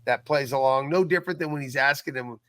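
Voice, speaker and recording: A man co-hosting a podcast, chatting casually and earnestly with halting, repetitive phrasing. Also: mid-range voice, a bit bright, no room sound. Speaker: podcast creator and co-host. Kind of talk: casual chat.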